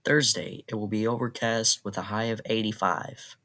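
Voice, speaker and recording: Flat, bright synthetic male weather announcer. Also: lowish voice, moderate pace. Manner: flat, impersonal, synthetic delivery. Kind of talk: automated weather radio bulletin.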